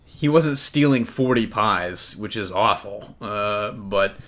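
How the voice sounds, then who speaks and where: A man's voice: lowish voice, lively intonation, slow pace, fairly bright tone. A man hosting a casual panel podcast about movies, talking in a jokey, loose way with some swearing. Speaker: podcast host. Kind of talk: casual comic-book movie panel chat.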